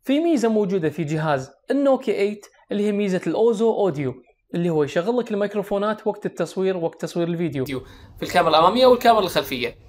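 A man's voice: high voice, expressive, rapid-fire, a little mellow. A young man with a Kuwaiti Arabic accent, reviewing a phone and explaining its features straight to viewers, seated indoors close to the camera. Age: young adult. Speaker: tech reviewer. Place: small indoor room, seated at a desk.

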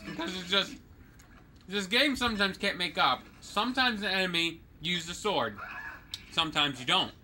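A man co-hosting a game let's play, joking and teasing the player with animated commentary. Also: high-pitched, normal speed, slight background noise. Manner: teasing, animated, exclaims and laughs often.

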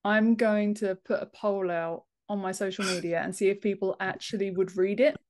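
A woman's voice: mid-range voice, steady tone, normal speed, a little mellow. A woman in her thirties talking casually as an interview guest on a video call from a room at home. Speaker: podcast guest, author and book formatter.

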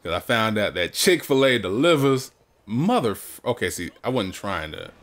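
A man's voice: on the low side, big pitch swings, normal speed, a bit bright. A man hosting a let's play in casual banter, repeating his phrases and getting playfully defensive. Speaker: let's play host, playing the game. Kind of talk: casual gaming commentary, banter with co-host. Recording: music behind the voice. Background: video game music.

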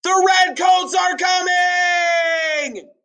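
A half-deaf colonial boy bell ringer in a cartoon storybook, yelling every word at a very high pitch. The voice is bright and strained, blasting out as if over ringing bells. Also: steady tone, extremely slow, heavily acted. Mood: alarmed. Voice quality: bellowing.

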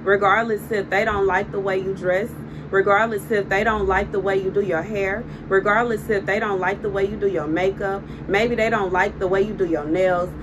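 A woman in her thirties with a Southern US accent, speaking a casual prophetic message on her channel, emphatic and repetitive with animated gestures, recorded on a phone camera at home. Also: mid-range voice, normal speed. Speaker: prophetic video host. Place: indoor room before window blinds. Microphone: phone camera on a desk. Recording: clear room noise behind.

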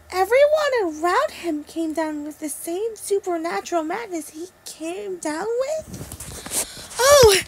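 Woman voicing a very high-pitched cartoon girl. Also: a bit bright, heavily acted, rough recording. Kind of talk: amateur character voice lines.

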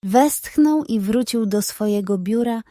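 A woman narrating a scripted bedtime story for children in an expressive, suspenseful way. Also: on the high side, unhurried, no room sound, studio quality.

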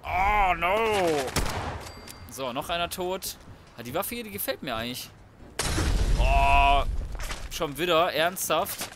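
Higher-voiced man doing casual game commentary. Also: lively intonation, slow pace, pauses often, background noise. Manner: colloquial, sweary, reacting aloud to gameplay. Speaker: gaming YouTuber. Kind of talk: casual let's play commentary. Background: video game sound and music.

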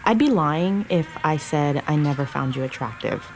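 A woman's voice: sits low, lively intonation, normal speed, gravelly. An actress playing a kind, practical and guarded woman who runs a restaurant, in naturalistic audio drama. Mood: gentle, careful.

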